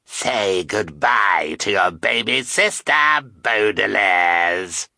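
A man voicing a vain, menacing male villain in a storybook, with a raspy, gravelly voice and a bellowing, theatrical manner. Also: on the low side, animated, extremely slow, pushed and bright, no echo, clean studio sound, exaggerated voice. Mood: gloating triumph.

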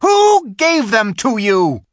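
A man reading a storybook aloud and voicing a cruel, imperious witch queen who barks harsh commands, raised far above his own pitch. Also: sing-song, takes it slowly, dry recording, studio quality, exaggerated voice. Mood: imperious, furious. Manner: commanding, harsh, shrieking when enraged.

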